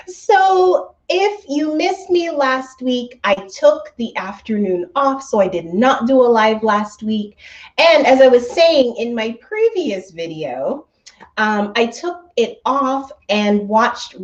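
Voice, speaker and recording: A woman's voice: fairly high pitch, muffled recording. A woman in her thirties, a bit stuffy from allergies, hosting a casual weekly live stream from home and chatting upbeat with viewers, laughing often. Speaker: host of a travel company's weekly live stream. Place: indoors at home. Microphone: laptop microphone.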